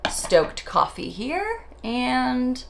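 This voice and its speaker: A woman beauty vlogger chatting casually while doing her morning makeup, her voice raspy from just waking up, a little nervous and rambling, tripping over her words and laughing at herself. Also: high-pitched, sing-song, drawn-out words, noisy background. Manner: nervous, self-deprecating, rambling with stumbles. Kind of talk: chatty get-ready-with-me monologue.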